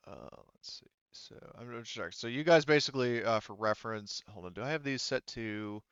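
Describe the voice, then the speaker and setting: A man speaking off the cuff as game master of a tabletop game, haltingly and thinking aloud. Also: medium pitch, expressive, fairly bright tone, smooth, no echo, dull audio. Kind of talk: unscripted tabletop game narration.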